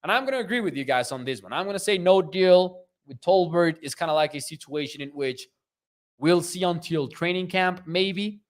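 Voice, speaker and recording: A man's voice: high voice, lively intonation, normal speed. A young man from Mexico with a Mexican Spanish accent, hosting a live sports talk stream and reading viewer comments aloud in a conversational way. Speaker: podcast host.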